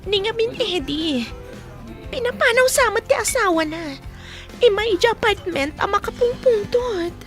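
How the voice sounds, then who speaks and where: A woman's voice: very high voice, expressive, moderate pace, over music. A woman acting a lovestruck, scheming, self-pitying young mistress in a radio drama, with an Ilocano Filipino accent. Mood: plaintive, scheming.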